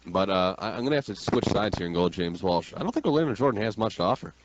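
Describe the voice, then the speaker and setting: A man's voice: sits low, animated, fast. A man from New York State with an American accent, talking wrestling as a brash, emphatic, slangy radio host. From New York State, United States. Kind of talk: opinionated wrestling talk radio banter. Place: radio studio. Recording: dull lo-fi radio audio.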